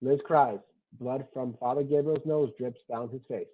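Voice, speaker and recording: A man's voice: medium pitch, steady tone, normal speed. A man reading screenplay stage directions aloud at a table read, matter-of-fact, in short clipped sentences. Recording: thin, phone-like online call audio.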